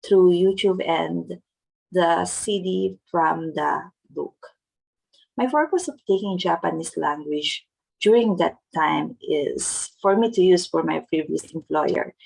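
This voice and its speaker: Young Filipino woman vlogger, gravelly husky voice, earnest step-by-step delivery. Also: lowish voice, rough recording. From Philippines. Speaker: vlogger teaching Japanese test tips. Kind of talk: casual vlog narration.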